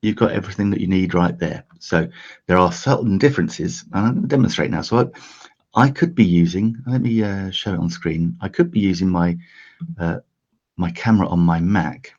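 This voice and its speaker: A man from the UK hosting a casual how-to live stream, his voice croaky this early, chatty with lots of fillers and restarts. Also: sits low, moderate pace, a little mellow, lo-fi sound. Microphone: desk USB microphone.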